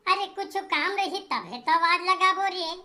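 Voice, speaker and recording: महिला की निभाई बहुत पतली आवाज़ वाली डाँटती गाँव की पत्नी. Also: female voice, extremely high pitch. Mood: bossy, urgent. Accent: Chhattisgarhi. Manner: brisk, nagging, repeats commands. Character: scolding, bustling village wife and mother. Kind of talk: comedy cartoon dialogue. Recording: noisy track with clicks.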